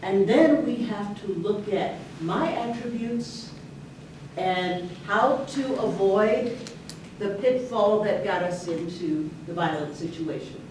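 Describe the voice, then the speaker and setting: A woman's voice: mid-range voice, slow, darkish tone. A woman clinical therapist speaks earnestly to a campus audience, leaning on key words and sometimes restarting a sentence. She sits far from the microphone in a strongly echoing room, with a faint hiss underneath. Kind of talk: breakout session talk. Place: university lecture room.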